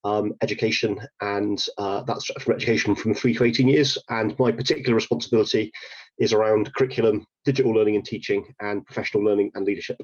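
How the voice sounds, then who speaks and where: A man from Scotland, an education agency director, introducing himself briskly on a video call. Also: on the low side, fairly even intonation. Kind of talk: self-introduction. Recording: dull call audio.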